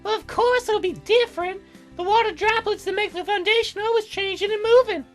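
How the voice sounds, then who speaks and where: A woman's voice: very high voice, fairly quick, faint music behind, pitched above the performer's own voice. A woman voicing a playful, teasing young fairy girl in a visual novel, with a girlish, sing-song delivery. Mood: bright, earnest. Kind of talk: visual novel character read in a let's play.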